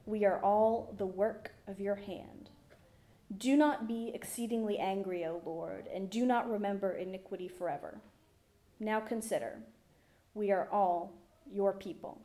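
A woman reads scripture as part of a church service. Her voice is dark and mellow, and she moves very slowly through each phrase. The delivery is solemn, reverent and deliberate. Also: medium pitch. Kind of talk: solemn scripture reading and prayer. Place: indoors in a church.

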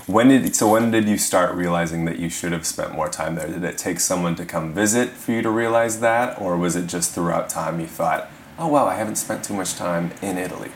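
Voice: sits low, a little fast, a little hiss or hum. A podcast host reading an upbeat scripted intro and then chatting with a guest, often laughing along. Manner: upbeat, frequent laughs and "mm-hmm". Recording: music bed under the intro. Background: guitar music.

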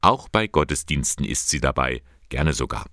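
A man with an extremely low, booming voice presents a radio segment from a script. His delivery is calm and measured, with a lively, swinging melody. The sound is dry and close. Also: vocal fry, dry recording. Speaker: radio host.

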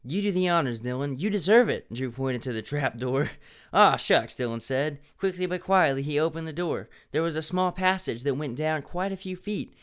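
A man's voice: on the high side, lively intonation, normal speed, clear, resonant tone. Sarcastic man reading a book aloud. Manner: sarcastic, laughing at the text. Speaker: reader of a children's mystery book. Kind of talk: mocking dramatic read-aloud with asides.

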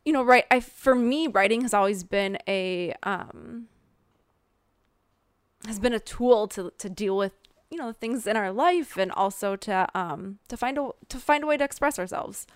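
A woman with a Minnesota accent answers questions about her writing in an even, mid-range voice. Her tone is smooth and clear, and she pauses often with ums as she thinks. The recording is dry, with no room echo. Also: mid-range voice. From American Midwest. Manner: thoughtful, with frequent um fillers and restarts. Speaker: author being interviewed. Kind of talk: reflective interview answers.

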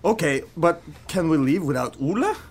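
A man's voice: a little high, animated, normal speed. A man from Norway playing an eager, pushy young band member in an amateur radio play.

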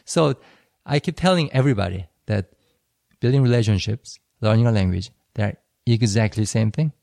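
A man co-hosting a podcast, giving advice in a calm, measured way. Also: lowish voice, gravelly, no echo, clean studio sound. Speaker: podcast co-host. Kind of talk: casual conversational podcast.

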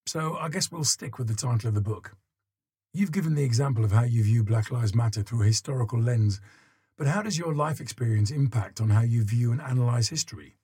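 A man's voice: mid-range voice, fairly quick, warm tone, breathy edge. A man reading an interviewer's questions for an audiobook. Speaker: audiobook reader voicing interviewer.

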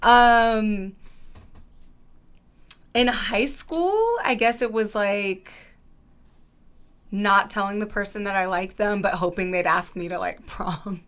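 A woman's voice: medium pitch, slow, long pauses, fairly bright tone. A woman from Los Angeles and San Diego with a Southern California accent, telling stories as a podcast guest in a playful, slangy way. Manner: playful, slangy, swearing, acts out quotes. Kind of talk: casual storytelling chat.

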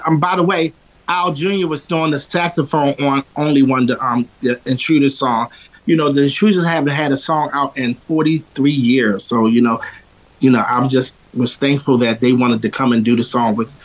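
A man's voice: medium pitch, poor audio. A man from Philadelphia, a singer who says he has a powerful voice, talking through an interview in a loose, rambling way with lots of fillers. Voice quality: strong, powerful voice. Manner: rambling, with many fillers and restarts. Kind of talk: casual interview chat.

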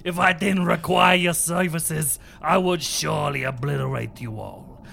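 A man's voice: fairly high pitch, unhurried, gravelly, bright, forceful tone, full character voice. A man voicing a posh, evil, pompous British villain with a florid, menacing delivery in a comic read-through. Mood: exasperated, murderous.

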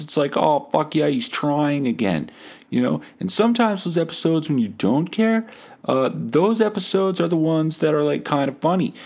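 A man's voice: fairly high pitch, gravelly, darkish tone, pitched above the performer's own voice. A man voicing a chatty, blunt boyfriend who rambles and gives backhanded encouragement, in a comedy podcast story. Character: worried, blunt boyfriend, a chatty lukewarm fan.

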